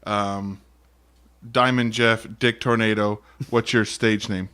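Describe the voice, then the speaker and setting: Halting, rambling podcast guy. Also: fairly low pitch, flat delivery, a bit bright, clear, resonant tone. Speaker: podcast co-host. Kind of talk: casual joking banter.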